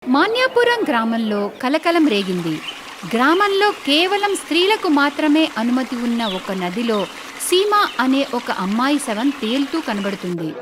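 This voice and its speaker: Animated high-pitched woman storyteller. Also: a little slow. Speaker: story narrator. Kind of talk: dramatic scripted story narration for a cartoon. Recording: clearly noisy background.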